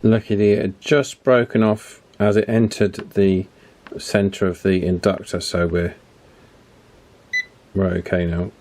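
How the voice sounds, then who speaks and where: A man's voice: sits low, flat delivery, unhurried, darkish tone, a little hiss or hum. A man casually narrating a walkie-talkie repair, thinking aloud with frequent fillers and restarts. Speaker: electronics repair YouTuber.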